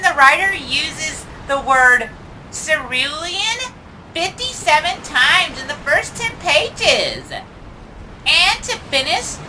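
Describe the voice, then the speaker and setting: A woman voicing an excitable, quirky young female pony, telling things theatrically with drawn-out, emphatic words. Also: very high voice, heavily acted. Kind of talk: cartoon voice acting in a comic read-along. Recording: clearly noisy background.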